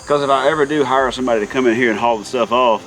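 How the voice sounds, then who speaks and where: A man chatting casually while doing hot outdoor yard work, in an easygoing way with some drawn-out words. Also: medium pitch, fairly bright tone, faint music behind, low-quality recording. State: hot and working hard. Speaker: vlog host. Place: outdoors in a backyard by a deck.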